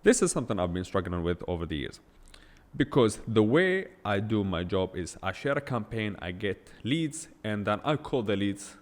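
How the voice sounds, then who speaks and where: A man's voice: on the low side, very animated, normal speed, big-room sound. A man hosting a solo podcast, talking without a script in a blunt, emphatic way and firing off rhetorical questions. English is not his first language. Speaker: podcast host. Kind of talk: unscripted solo podcast monologue.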